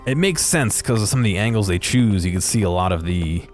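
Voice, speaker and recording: A man giving casual, unscripted video game commentary in a halting, hesitant way. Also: on the low side, animated. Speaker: gaming video creator. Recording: voice-over with game music behind.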